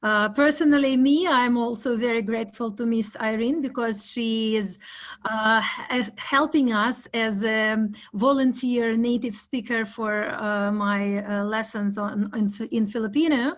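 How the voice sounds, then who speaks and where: A woman's voice: a little high, steady tone, measured pace. A woman chairs an online academic panel, politely inviting questions and reading them out over a video call. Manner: polite, formal, gently prompting. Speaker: panel moderator.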